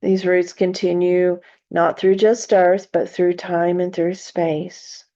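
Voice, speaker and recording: A woman leading a guided meditation in a soothing narration style, with gentle, deliberate phrasing and long pauses. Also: on the low side, level tone, muffled recording.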